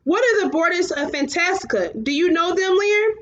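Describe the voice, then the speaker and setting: A brave young storybook boy voiced by a woman calls out in a high, urgent voice. He is commanding and emphatic. The recording sounds slightly dull. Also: expressive, moderate pace, a bit bright. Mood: demanding. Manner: calling out, emphatic.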